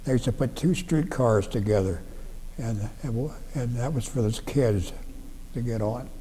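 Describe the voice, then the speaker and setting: An elderly man from Ohio, in his nineties, recalls old times in plain, halting speech full of restarts. His voice is dark and mellow. It rings with a long reverb in a library room, and a faint noise sits underneath. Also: mid-range voice. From Ohio, USA. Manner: halting, plain-spoken, frequent restarts and fillers. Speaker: veteran interviewee. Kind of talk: oral history recollection.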